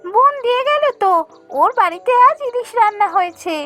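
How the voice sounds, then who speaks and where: A woman voicing a gentle, pleading young village wife in a cartoon fairy tale, sweet and coaxing. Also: very high voice, animated, a little fast, faint music behind, pitched above the performer's own voice, exaggerated voice. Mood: attentive.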